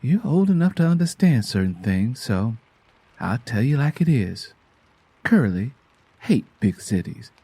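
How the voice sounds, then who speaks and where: A man's voice: medium pitch, very animated, unhurried, mellow, husky, no room sound, pitched below the performer's own voice, full character voice. A man voicing a frank, world-wise mother from the American South, telling a family tale in a folksy, plainspoken way. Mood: frank, grave.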